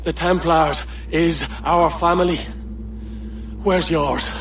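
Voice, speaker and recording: A bitter, scornful pirate crewman makes his accusations in a video game. He speaks very slowly, in rough dockside grammar. The voice is on the high side and sits away from the mic. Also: fairly even intonation, fairly bright tone, far from the mic, a little hiss or hum. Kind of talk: dramatic video game acting.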